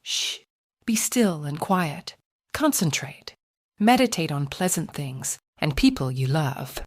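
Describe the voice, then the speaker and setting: Woman playing a calm, low-voiced, serene housekeeper. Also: no echo, clean studio sound, heavily acted. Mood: soothing. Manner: measured, soothing, formal. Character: calm, gentle Polynesian housekeeper, knowing and serene. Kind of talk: audiobook character dialogue.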